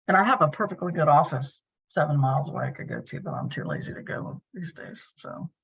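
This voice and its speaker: Husky middle-aged woman on a dull video call. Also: low-pitched, huge pitch swings, fast. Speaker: club meeting host, filling in for the president. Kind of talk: casual pre-meeting chat on a video call. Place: bedroom used as a home office.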